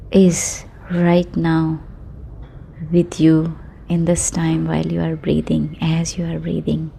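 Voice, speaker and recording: A woman guiding a sleep meditation in a soothing, unhurried manner, speaking affirmations in short phrases with long pauses. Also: on the low side, vocal fry, mellow. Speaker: meditation guide.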